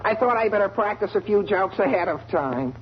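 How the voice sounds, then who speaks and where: A man's voice: high-pitched, lively intonation, brisk. An American actor playing an awkward, earnest teenage boy in a 1940s radio sitcom, hesitant and eager. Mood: sheepish. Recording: muffled old radio recording, faint noise.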